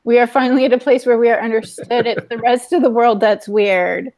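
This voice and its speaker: A woman's voice: a little high, fairly even intonation, fairly quick, darkish tone. A woman co-hosting a podcast, chatting casually and conversationally with the others. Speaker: podcast co-host.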